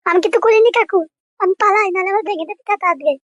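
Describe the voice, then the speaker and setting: A performer voicing a whiny, naughty little boy in a comedy cartoon, defensive, with a pitched-up voice. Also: quick pace, fairly bright tone, dry recording, highs cut off. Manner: whining, defensive.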